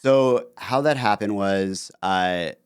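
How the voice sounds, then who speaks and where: Low, smooth-voiced man telling a story. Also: takes it slowly, dry recording, clean studio sound. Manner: relaxed storytelling with frequent fillers. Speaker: podcast guest, author. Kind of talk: casual interview conversation.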